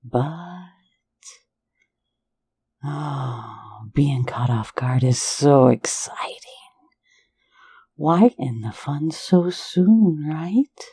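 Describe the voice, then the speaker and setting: A woman voicing a teasing, flirtatious phantom thief in an ASMR roleplay, in a soft, intimate whisper right at the mic. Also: low voice, lively intonation, extremely slow, long pauses, breathy edge, exaggerated voice. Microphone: close mic for ASMR.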